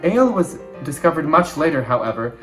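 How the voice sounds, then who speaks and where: A young man with a slightly high voice narrates an educational video from a script. The room is clearly echoey, and plucked guitar music plays behind him. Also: big pitch swings, fairly quick. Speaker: presenter and student actor on a sound team. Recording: roomy echoey recording with music. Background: plucked guitar background music.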